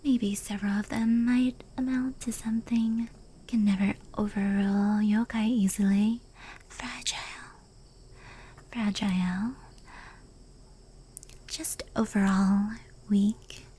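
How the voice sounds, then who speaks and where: A woman plays a powerful, proud female fox yokai in a whispered ASMR roleplay. Her voice is soft and breathy, with a dark, mellow tone. She speaks slowly and intimately, like a fond confidante. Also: mid-range voice. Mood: serious, confessional. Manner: unhurried, hushed, intimate.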